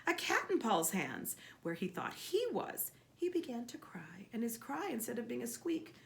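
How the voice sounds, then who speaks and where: A woman reading a children's story aloud expressively and emphatically, pausing to ask a child questions. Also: on the high side, gravelly. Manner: expressive, emphatic, with repeated words for effect.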